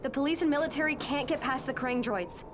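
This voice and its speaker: Actress as a high-voiced, urgent young woman. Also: fairly quick, noisy background, natural voice. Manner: urgent, concerned. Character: young female reporter ally, earnest, alert.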